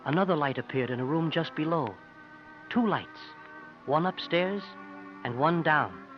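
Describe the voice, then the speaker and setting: Casting: earnest young sidekick-narrator, slightly high voice, old radio adventure, muffled sound with music behind. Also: male voice, lively intonation, measured pace. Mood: tense. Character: earnest young male companion narrating a frontier adventure tale. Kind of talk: 1950s radio acting, first-person storytelling. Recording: dull 1950s radio recording with music.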